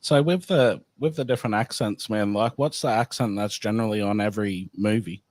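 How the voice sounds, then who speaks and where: A man hosts a loose, casual chat and asks questions in an offhand way, swearing freely. His voice sits on the low side. The sound is dry, with no room around it. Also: brisk, a little mellow, no echo. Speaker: host.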